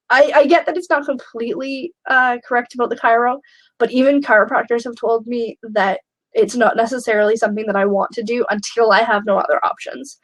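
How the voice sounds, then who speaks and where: A young woman hosting a live question-and-answer chat, talking candidly with many pauses and fillers, a little snuffly from a flu. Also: medium pitch, no echo, poor audio. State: snuffly, getting over a flu. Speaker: host of a live question stream.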